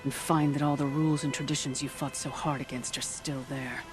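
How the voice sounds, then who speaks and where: A woman's voice: low-pitched, little pitch movement, brisk, close to an everyday voice. A woman plays an old huntress who keeps the trials in a video game. She talks in a wry, blunt, instructive way, with faint music underneath. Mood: wry, proud. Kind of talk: video game dialogue acting.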